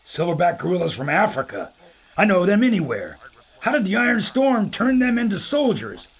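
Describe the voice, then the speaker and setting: A brash, wisecracking photographer , voiced by a man chasing his big shot. His voice sits high and swings widely, with a gravelly catch. He talks in breezy slang. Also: very animated, normal speed, full character voice. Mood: eager. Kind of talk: audio drama acting.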